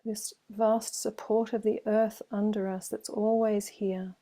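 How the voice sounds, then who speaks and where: A woman meditation teacher leading a guided meditation in a gentle, soothing way, with long pauses between phrases. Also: mid-range voice, level tone.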